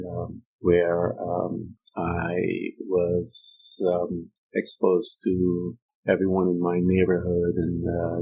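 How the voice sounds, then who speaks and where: Middle-aged male podcast host, very low flat delivery, reflective and hesitant. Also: gravelly, slightly rough, poor audio. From Guyana. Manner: slow and halting, many ums and uhs. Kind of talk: reflective personal-development monologue. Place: United States.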